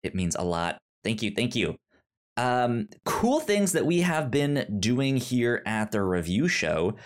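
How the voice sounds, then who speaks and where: A man's voice: mid-range voice, very animated, slow pace. A man hosting a podcast in casual conversation, with a stutter that repeats sounds and words. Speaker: podcast host.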